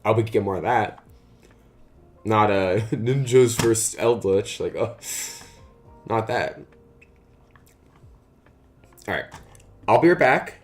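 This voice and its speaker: A young man streaming a card game, chatting casually with a raspy morning voice and lots of "uh" and "like" fillers. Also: fairly low pitch, animated, slow pace, long pauses, faint music behind. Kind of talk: casual live-stream chat.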